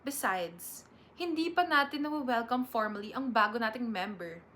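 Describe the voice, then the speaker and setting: A woman's voice: on the high side, expressive, normal speed, bright, forceful tone. A Filipino woman playing a chatty, proud and bossy college instructor in her thirties in an amateur dramatic reading. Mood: officious, proud. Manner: chatty, officious. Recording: faint background noise.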